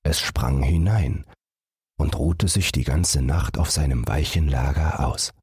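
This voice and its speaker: A man's voice: extremely low pitch, unhurried, darkish tone, husky, no echo, clean studio sound. A man narrating a fairy tale as a bedtime audiobook.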